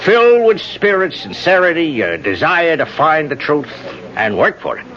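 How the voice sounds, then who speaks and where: Highish, animated older congressman. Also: normal speed. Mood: wistful. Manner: wry, avuncular, folksy. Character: charming, world-weary old-guard male congressman, a rogue. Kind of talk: radio drama acting. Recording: muffled vintage radio recording.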